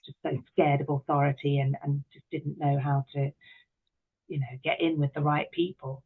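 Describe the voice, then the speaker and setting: A woman's voice: deep voice, little pitch movement, moderate pace, slightly rough. A middle-aged British woman chatting candidly and with self-deprecation as an interview guest, reflecting on her own experiences. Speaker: podcast guest, actress, director and writer. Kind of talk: conversational interview, reflective personal anecdotes. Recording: thin phone-like call audio.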